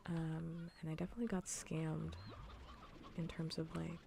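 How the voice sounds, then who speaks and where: Casting: female ASMR gaming streamer, soft near-whisper with gravelly fry, casual and rambling, faint noise behind. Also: sits low, little pitch movement, normal speed. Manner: casual, rambling, repeats phrases.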